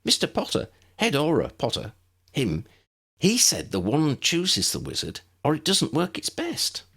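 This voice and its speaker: A man's voice: a little high, huge pitch swings, unhurried, a bit bright, breathy edge, voice pushed up, full character voice. A man voicing an earnest, polite young witch with a hesitant, eager manner. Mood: tentative, earnest.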